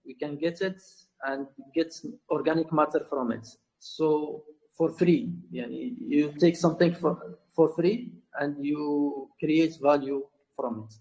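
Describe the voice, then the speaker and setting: A man from Morocco, speaking English as a second language with many pauses and fillers, as a plantation founder in an online AMA. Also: a little high, fairly even intonation, creaky, dark tone, poor audio. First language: not English. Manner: halting, many fillers and restarts.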